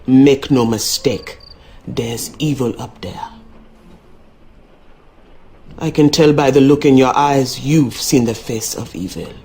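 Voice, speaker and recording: Casting: folksy, ominous Southern voodoo shopkeeper for a mystery game, mid-pitched, faint noise behind. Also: male voice, a little slow, creaky, dark tone. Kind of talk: video game character acting.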